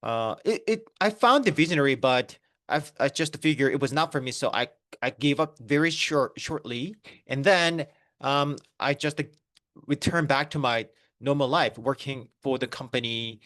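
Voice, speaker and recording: A man's voice: mid-range voice, moderate pace, a bit bright, dry recording, studio quality. A man in his thirties with a Korean accent, giving an online business presentation with many fillers and restarts. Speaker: network marketing presenter.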